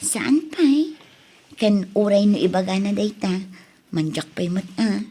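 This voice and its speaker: A woman's voice: mid-range voice, expressive, a little slow, dark tone, slightly rough. A woman playing a playful, shy young student in an Ilocano audio drama, speaking with an Ilocano Filipino accent and a teasing, casual manner. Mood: earnest, coy. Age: young adult.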